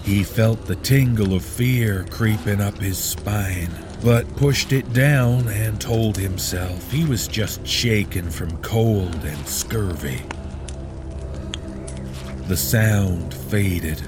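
A man narrating a horror story in a measured, grave, ominous delivery. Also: fairly low pitch. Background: background music.

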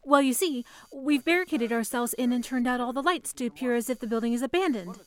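Actress as high-voiced, anxious young telephone operator. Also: female voice, animated, quick pace, natural voice. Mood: polite, businesslike. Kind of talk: 1940s radio drama acting.

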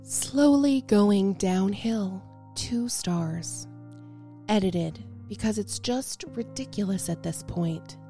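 A woman's voice: medium pitch, takes it slowly, gravelly, dark tone, faint music behind. A woman co-hosting a casual chat podcast, playful and self-deprecating, with a free, sweary way of talking. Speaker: podcast co-host.

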